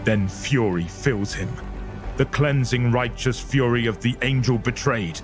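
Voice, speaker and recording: A man reading dramatic scripted narration for a dark audio story. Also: mid-range voice, unhurried. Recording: narration over loud music.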